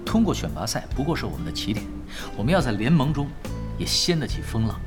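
Young male gamer tactician played by a male actor, unruffled and cocky, fast and lively delivery over music. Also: mid-range voice, close to an everyday voice. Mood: self-assured. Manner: unruffled, teasing, self-assured. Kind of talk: Chinese audio drama acting.

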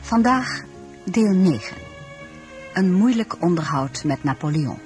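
A woman's voice: fairly low pitch, lively intonation, slow pace, over music. A woman formally announcing a radio play as its announcer.

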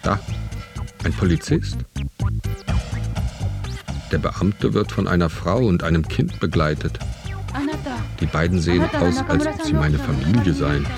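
A man's voice: deep voice, unhurried, creaky, long pauses, music in the background. An actor playing a bewildered, put-upon family man telling his plight in a radio play monologue, sardonic and exasperated. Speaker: actor narrating in first person. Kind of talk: dramatic first-person audio play monologue.

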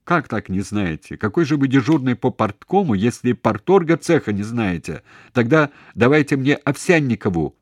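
Man as impatient officer, audiobook character, raised pitch, barking, angry, commanding, dry sound. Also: big pitch swings, normal speed, no room sound, close to an everyday voice. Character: forceful, impatient military man shouting into a telephone.

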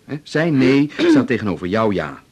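An actor playing a practical, slightly irritable husband in a radio drama, talking in a terse, matter-of-fact way. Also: male voice, mid-range voice, sing-song, moderate pace, breathy edge. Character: practical husband, curt, slightly irritable, advising his wife. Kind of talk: 1980s radio drama acting.

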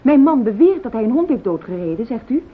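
An actress playing an anxious, fiercely loyal wife, formal and insistent, in a radio play. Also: female voice, very animated, quick pace, slightly rough. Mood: indignant, insistent. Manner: formal, insistent, easily indignant. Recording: tinny old radio recording, hiss, rumble.

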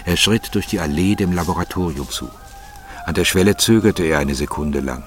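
Deep-voiced male literary narrator. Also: normal speed.

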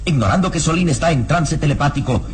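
A man's voice: fairly high pitch, lively intonation, fast talker, noisy background. A man narrating a radio serial in a solemn, florid, dramatic storytelling style.

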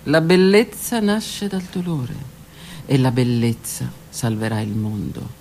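Woman, theatrical monologue, dramatic and introspective with hushed passages; gravelly texture, faint hiss behind. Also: very deep voice, takes it slowly. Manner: introspective, dramatic delivery, drops to whispers. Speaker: stage performer. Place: stage or studio performance.

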